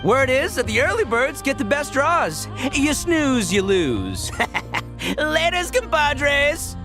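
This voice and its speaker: A man voicing a brash, boastful male gang leader in a game, loud and swaggering with slangy talk. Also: extremely high pitch, sing-song, slow pace, heavily acted. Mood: cocky. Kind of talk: game cutscene voice acting. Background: game music.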